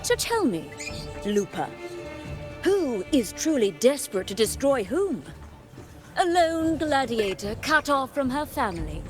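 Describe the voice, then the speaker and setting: A woman's voice: high voice, big pitch swings, measured pace, music in the background. An actress playing a proud, imperious noblewoman in a video game, speaking formally and theatrically. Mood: proud, defiant.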